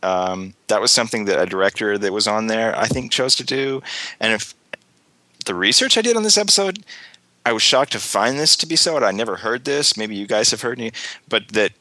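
A man hosting a casual discussion podcast, a little hoarse from a cold and sometimes searching for words. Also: lowish voice, animated, a little fast, pushed and bright, no echo. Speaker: podcast host.

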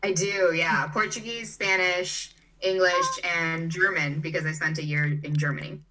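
Bright-voiced young woman chatting, slightly off-mic. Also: lowish voice, steady tone, moderate pace, recorded from a distance, poor audio. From Brazil and Chicago. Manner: chatty, frequent "like" and "you know" fillers. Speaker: interview guest, digital nomad. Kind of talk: casual interview chat.

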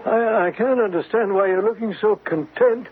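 An actor playing a detective's genial, puzzled gentleman companion in an old radio play, stammering and fussy, with a husky, creaky voice. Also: high-pitched, moderate pace, close to an everyday voice. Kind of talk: old-time radio drama acting. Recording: tinny, band-limited old radio recording.

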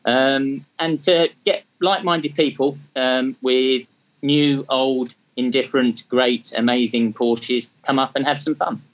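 A man's voice: mid-range voice, steady tone, a little slow, rough recording. A man in his fifties from England, with an English accent that has a slight Australian tinge, chatting as an interview guest in a self-deprecating way with frequent ums. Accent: English, with some Australian tinge. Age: 50s. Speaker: guest, charity track day organiser. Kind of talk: casual interview talk.

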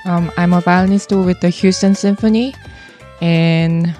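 A Korean-accented woman, unhurried and mellow, like a guest saying a casual hello at the start of a music podcast. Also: on the low side, fairly even intonation. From South Korea. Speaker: orchestral violinist, podcast guest. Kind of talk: casual self-introduction.

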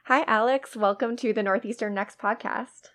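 A woman's voice: fairly high pitch, fairly even intonation, normal speed, fairly bright tone, faint music behind. A woman hosting a podcast, reading a polished scripted intro and then interviewing a guest. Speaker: podcast host.

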